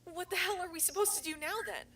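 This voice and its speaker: A woman's voice: extremely high pitch, quick pace, fairly bright tone, a little hiss or hum. A woman playing a worried young videographer searching for her missing brother in an analog horror audio drama, talking in an earnest, rambling way. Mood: alarmed.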